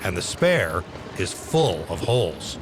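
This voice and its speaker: A man's voice: sits low, sing-song, slow, background noise. A man reading scripted documentary narration for a reality television series. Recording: music bed behind voice. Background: loud music.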